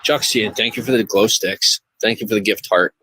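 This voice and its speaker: A man from the USA livestreaming from his phone under a highway bridge, reading out viewer joins and gifts in a running list. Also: moderate pace, pushed and bright, a little hiss or hum, low-quality recording. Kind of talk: live stream chat reading.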